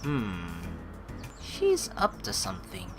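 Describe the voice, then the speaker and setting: A woman with a low voice plays through a story game. She reads aloud and comments in a very animated, dramatic way, her pitch moving widely. Faint game music runs behind her. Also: slow. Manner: expressive, dramatic reactions. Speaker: let's play streamer.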